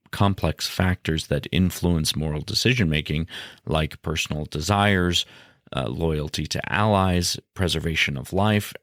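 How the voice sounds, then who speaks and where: A man presents a scripted educational podcast as its host. His voice sits very low and often creaks into fry. The sound is dry and close, and he explains things in a measured way. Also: dry recording.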